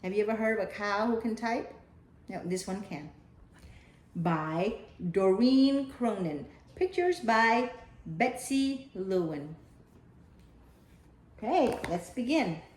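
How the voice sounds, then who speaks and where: A woman reading a children's picture book aloud in an expressive, deliberate storytelling style. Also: medium pitch. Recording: echoey room.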